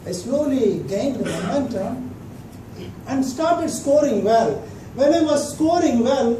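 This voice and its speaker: Slow, dry-humored man in an echoing hall. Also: extremely high pitch. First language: Tamil. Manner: dry storytelling, pauses for laughs. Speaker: club speaker. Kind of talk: humorous prepared speech. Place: meeting hall. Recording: roomy hall from far off with noise.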